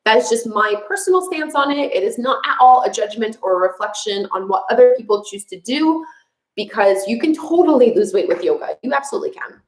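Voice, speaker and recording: Young quebecoise yoga teacher chatting live, french accent, kinda high voice, room echo. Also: female voice, lively intonation, a little fast. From Quebec, Canada. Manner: chatty, laughs often, many "like" fillers. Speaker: yoga instructor, channel host. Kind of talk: live chat answering viewer questions.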